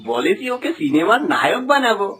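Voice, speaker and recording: A man's voice: high voice, very animated, brisk, bright, forceful tone, a little hiss or hum, heavily acted. A man voicing a sly, smug middle-aged village swindler in a cartoon fable, with a wheedling, theatrical delivery. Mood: gloating. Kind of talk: Bengali cartoon fable voice acting.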